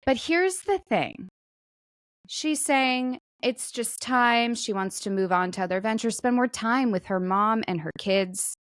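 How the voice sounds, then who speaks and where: A woman's voice: on the high side, expressive, measured pace, no echo, clean studio sound. A woman co-hosting a talk podcast, chatting casually and jokingly about the news. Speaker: podcast co-host.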